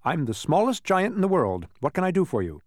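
Storybook oddball man, acted by a male reader, chirpy, proud, scatterbrained, lifted pitch, animated. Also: fairly quick, no echo, studio quality. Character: cheerful, proud, absent-minded ordinary man, secretly four oddities. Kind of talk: audiobook character voice.

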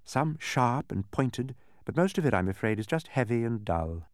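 A man's voice: medium pitch, sing-song, normal speed, dry recording, clean studio sound, lightly acted. A man voicing a stubborn, bossy, proud woman who keeps sounds in a storybook, speaking in an imperious, instructive way. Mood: bright, showing off. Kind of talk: storybook character voice.

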